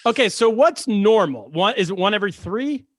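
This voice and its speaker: A man's voice: high voice, animated, moderate pace, a bit bright, no room sound, studio quality. A man hosting a comedy podcast, introducing a guest with teasing jokes in a casual, joking manner. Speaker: podcast host.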